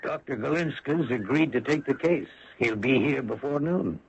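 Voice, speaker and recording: Insistent, husky-voiced town official. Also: male voice, medium pitch, moderate pace. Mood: firm. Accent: American. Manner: emphatic, persuasive, clipped. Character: insistent, hard-nosed small-town American official pressuring the sheriff. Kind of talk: old-time radio drama acting. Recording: dull vintage radio broadcast recording.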